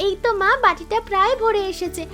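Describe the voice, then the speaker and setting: A woman voicing an excitable, stubborn little village girl in an animated family drama. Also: very high-pitched, fast talker, faint music behind. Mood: excited, stubborn.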